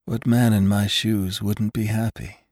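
A man's voice: on the low side, measured pace, no room sound, clean studio sound, pitched above the performer's own voice. Man acting a wretched, sardonic father, subdued. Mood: sulky, taut. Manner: flat-hearted, sardonic, tense. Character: wretched, sulky, weary young-ish expectant father.

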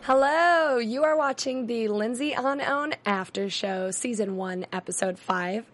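A young woman hosting a casual TV recap chat, a little hoarse from cheering. Her voice sits somewhat high, and she talks fast with quick asides. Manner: chatty, quick asides, laughing. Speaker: host.

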